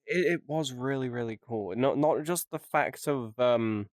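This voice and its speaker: A man's voice: medium pitch, measured pace, dry recording. A man co-hosting a podcast, chatting casually and thinking aloud as he goes. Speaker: podcast co-host. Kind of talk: casual chat.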